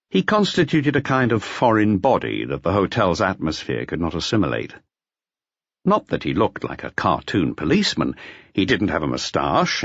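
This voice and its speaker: A man with a low voice reads a mystery audiobook. His delivery is measured but expressive, moving widely in pitch, and the recording is dry and slightly dull. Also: no room sound. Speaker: audiobook narrator. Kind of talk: scripted mystery novel narration.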